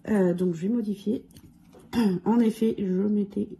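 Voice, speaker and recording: A woman with a cold and a hoarse voice, casually going through her budget challenges and counting money aloud with lots of fillers. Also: medium pitch, fairly even intonation, unhurried, warm tone. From France. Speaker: budget vlogger. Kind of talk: casual budget review talk.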